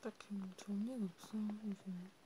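A woman speaking in a soft, breathy, often whispered murmur, gently and hesitantly, as if chatting one-to-one in an ASMR roleplay. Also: medium pitch, steady tone, moderate pace, background noise. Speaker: ASMR host.

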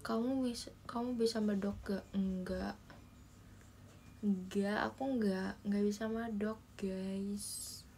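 A woman's voice: medium pitch, fairly even intonation, slow pace, mellow, slight background noise. A young woman hosting a casual phone livestream from her bedroom, chatting with viewers, reading their comments and giggling often. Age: young adult. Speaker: idol livestream host. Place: small bedroom indoors. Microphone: phone held close in selfie framing.

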